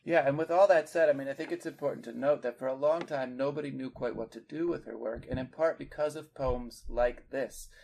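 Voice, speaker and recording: A man co-hosting a poetry discussion, explaining his points in a measured, analytical way, one step at a time. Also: mid-range voice, gravelly, a little mellow, a little hiss or hum. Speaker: podcast co-host, literary scholar.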